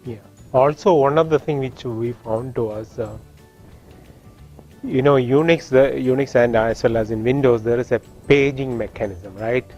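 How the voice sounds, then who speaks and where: A man from India with an Indian accent, teaching a course and explaining technical points with frequent pauses and restarts in a classroom. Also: medium pitch, darkish tone, muffled recording, faint music behind. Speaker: course instructor. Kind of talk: classroom lecture.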